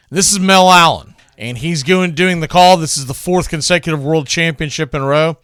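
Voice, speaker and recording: A man's voice: on the high side, a bit bright, no room sound, clean studio sound. A man hosting a baseball radio show, introducing classic game calls in a conversational, opinionated way.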